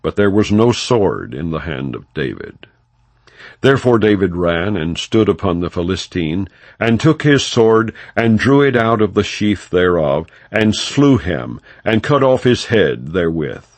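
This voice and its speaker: A man with a low voice narrates scripture for an audiobook. His delivery is solemn and emphatic, with a wide, animated rise and fall, in a very dry recording. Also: measured pace, no echo. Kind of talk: scripted scripture narration, non-dramatized reading.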